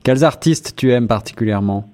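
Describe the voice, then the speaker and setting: A male radio host interviewing a singer, friendly but halting, with many fillers. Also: mid-range voice, darkish tone.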